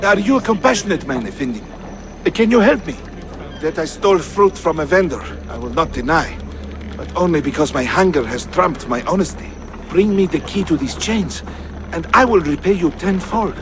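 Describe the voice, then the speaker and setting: Pleading higher-voiced street thief played by a man. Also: lively intonation, normal speed, background noise, lightly acted. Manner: flowery, beseeching. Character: pleading, humble male street thief in Ottoman Constantinople. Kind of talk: video game acting.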